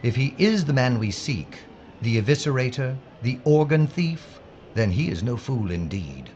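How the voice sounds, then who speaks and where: A man's voice: medium pitch, big pitch swings, a little mellow, natural voice. A man playing a polite but dogged Scotland Yard inspector in an audio drama, speaking formally. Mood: brooding, resolute. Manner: formal, polite, deliberate wording. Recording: dull sound with faint background noise.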